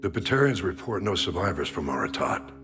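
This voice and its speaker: Deep-voiced, grave veteran admiral. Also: male voice, sing-song, over music. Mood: grave, measured. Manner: measured, grave, authoritative. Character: gruff veteran military admiral, grave and weary. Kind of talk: video game dramatic acting.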